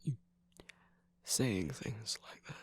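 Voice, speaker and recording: A man playing a guarded, sarcastic trickster villain in an ASMR romance roleplay, speaking haltingly in a breathy, husky whisper. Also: lowish voice, lively intonation. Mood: vulnerable, wary. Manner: halting, defensive, teasing, with sighs and bitter laughs.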